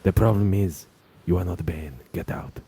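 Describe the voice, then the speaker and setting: A man voicing a belligerent, boastful luchador supervillain, rough and gravelly, taunting and insulting in a bombastic way. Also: sits low, normal speed, dark tone. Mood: mocking. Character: belligerent, boastful luchador villain Bane, scornful bully.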